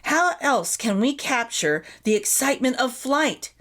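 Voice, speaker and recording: A woman's voice: high-pitched, expressive, unhurried, fairly bright tone. A woman voicing an enthusiastic, insistent young girl in a children's storybook. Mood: excited, insistent. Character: enthusiastic young girl who loves flying machines.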